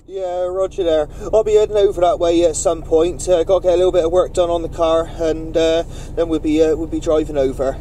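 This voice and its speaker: High-pitched mellow man on CB radio. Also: little pitch movement, brisk, hardly any pauses, a little hiss or hum. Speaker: CB radio operator running a net. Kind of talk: informal CB radio chat with procedural jargon.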